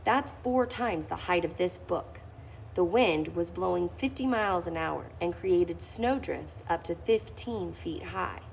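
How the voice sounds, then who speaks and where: A woman's voice: fairly low pitch, a little slow, vocal fry, a little hiss or hum, poor audio. A woman reading a children's picture book aloud, lifting her voice expressively on key phrases. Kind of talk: read-aloud storytelling.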